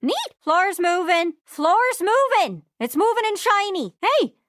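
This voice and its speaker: Young female game character, very high voice, animated swooping intonation, Newfoundland accent, eager, curious, friendly, chatty. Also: slow pace, no echo, studio quality, exaggerated voice. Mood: curious, hesitant. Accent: Newfoundland Canadian. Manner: excitable, friendly, rambling, folksy. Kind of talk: video game character acting.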